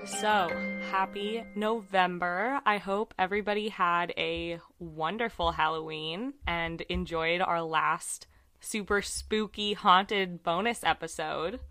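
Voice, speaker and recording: A woman co-hosting a casual true crime podcast, her voice a little raspy, chatty and earnest with lots of fillers. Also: on the low side, steady tone, slow, pushed and bright, faint music behind. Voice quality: slightly raspy from screaming. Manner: chatty, many fillers, earnest about cases. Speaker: podcast co-host.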